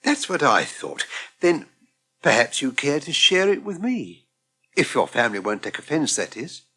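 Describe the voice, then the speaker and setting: Radio soap: courteous, solicitous older Englishman. Lively intonation, slightly rough voice. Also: medium pitch, moderate pace, heavily acted. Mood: confiding, tentative. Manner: polite, genteel, solicitous. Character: kindly, lonely older Englishman, courteous and encouraging. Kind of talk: British radio soap acting.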